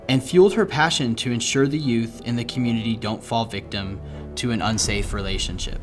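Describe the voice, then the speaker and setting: A man reading a written tribute aloud in scripted narration. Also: medium pitch. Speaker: company employee reading submission stories. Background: background music.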